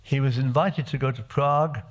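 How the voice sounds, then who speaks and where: Older man recalling his childhood, halting. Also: mid-range voice, fairly even intonation. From Czechoslovakia. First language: Czech. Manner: reflective, halting with many restarts. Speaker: parliamentarian. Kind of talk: personal testimony speech. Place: assembly chamber.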